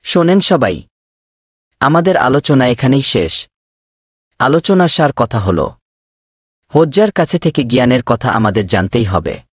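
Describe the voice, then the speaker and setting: A man playing an earnest, scheming villager in a cartoon, with an expressive, persuasive way of talking. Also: mid-range voice, measured pace, pauses often, slightly rough, no room sound, studio quality. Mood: scheming, instructive. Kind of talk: animated cartoon dialogue.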